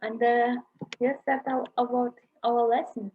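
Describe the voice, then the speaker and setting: A woman's voice: a little high, flat delivery, a little hiss or hum. Woman lecturer. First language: Kazakh. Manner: halting, searching for English words. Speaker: university lecturer. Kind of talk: online lecture in English. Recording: dull lo-fi sound.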